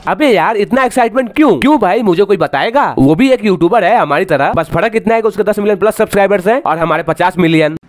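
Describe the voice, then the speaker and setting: A man's voice: high voice, expressive, quick pace, few pauses, soft background music. A man hosting a YouTube reaction commentary, talking in a mocking, slangy, crude-mouthed way.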